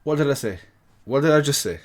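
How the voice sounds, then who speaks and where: Animated man doing casual reaction commentary. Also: mid-range voice, fast talker, loud music behind the voice. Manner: animated, excitable. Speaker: reaction video host.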